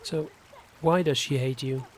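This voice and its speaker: A man's voice: medium pitch, steady tone, measured pace, close to an everyday voice. A young man acting a friendly, casual pool worker in an audio drama, with water behind him. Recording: faint noise, pool water ambience.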